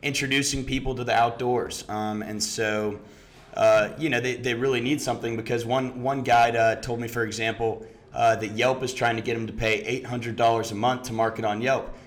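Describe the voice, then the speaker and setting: A man from Houston speaks as a guest on a talk show, recounting how his business began. He talks in an easygoing way, leaning on filler words as he goes. His voice sits at an ordinary middle pitch. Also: normal speed. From Houston, Texas. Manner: relaxed, many "you know" and "um" fillers. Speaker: startup founder, guest. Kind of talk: casual interview storytelling.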